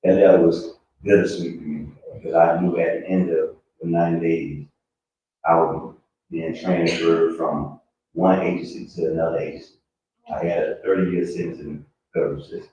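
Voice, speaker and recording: A man's voice: deep voice, little pitch movement, normal speed, mellow. A man in his fifties giving personal testimony as a guest speaker at a live event. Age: 50s. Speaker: guest speaker, trucking business owner. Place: indoor room at a live event. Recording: very echoey room, distant dull mic, faint noise.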